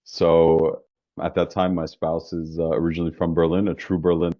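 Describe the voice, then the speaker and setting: Deep-voiced man. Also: moderate pace, no room sound, lo-fi sound. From New York metropolitan area, US. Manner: relaxed and talkative, frequent restarts. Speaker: guest, insurance business founder. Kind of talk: casual interview conversation.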